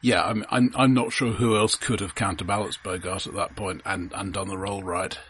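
A man co-hosting a film podcast, discussing films casually in measured, deliberate phrases. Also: deep voice, steady tone, a bit bright, a little hiss or hum.

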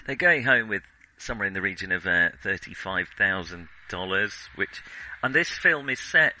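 A man with a fairly low voice and a very bright, sharp edge to it. He co-hosts a film podcast, talking casually and picking his way thoughtfully through his points. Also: lively intonation, moderate pace, low-quality recording.

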